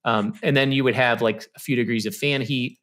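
A man in his thirties with a bright, forceful voice walks through technical slides. He talks conversationally, peppering his explanations with "you know" and "okay". His voice comes over a studio microphone on a video call. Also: mid-range voice, fairly even intonation, a little fast. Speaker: HVAC presenter. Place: small indoor room. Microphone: studio mic on stand with headphones, video call.

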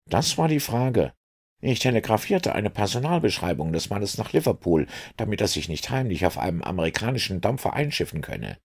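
A man putting on a gruff, worked-up police inspector for an audiobook, his husky voice swinging lively up and down as he reports. The delivery is animated and rushed with alarm. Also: fairly low pitch, pitched above the performer's own voice, full character voice. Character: gruff, agitated middle-aged male police inspector. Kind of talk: audiobook reading.

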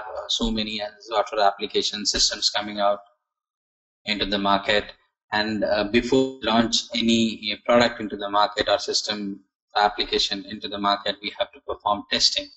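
A man teaching an online software testing class, asking and answering his own questions, with many fillers and restarts. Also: lowish voice, rough recording.

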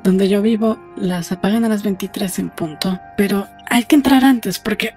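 A woman playing a brisk, practical young woman in an audiobook drama, talking in a matter-of-fact, conversational way. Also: mid-range voice, darkish tone, soft background music, close to an everyday voice. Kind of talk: audiobook dialogue acting.

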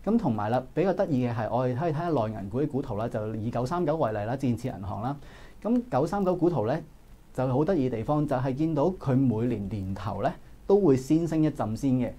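A man in his thirties with a Hong Kong Cantonese accent, giving brisk analytical stock market commentary in a studio. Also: mid-range voice, expressive, mellow. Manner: brisk, analytical, frequent filler particles. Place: indoor studio.

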